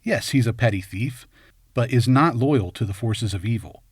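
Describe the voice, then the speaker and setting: A man's voice: mid-range voice, normal speed, husky, no room sound. Man narrating a scripted analysis, dry close sound. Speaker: video essay host and narrator.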